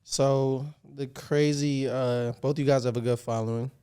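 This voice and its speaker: A man's voice: mid-range voice, steady tone, a little slow, mellow, clear, resonant tone. Laid-back man hosting a casual podcast. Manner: laid-back, laughs often, loose slangy questions. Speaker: podcast host.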